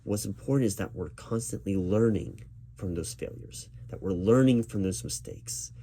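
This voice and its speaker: Male ASMR roleplayer as a patient, encouraging recruiting manager; relaxed, warm tone, slightly low pitch. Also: moderate pace. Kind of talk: loud-spoken ASMR interview roleplay. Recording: background noise with keyboard typing.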